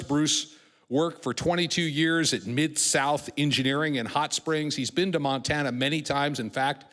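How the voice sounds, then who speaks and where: A man's voice: medium pitch, a bit bright. A man hosting an event, giving a formal, partly read introduction of a speaker. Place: large event hall, public address. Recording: echoey hall with long reverb.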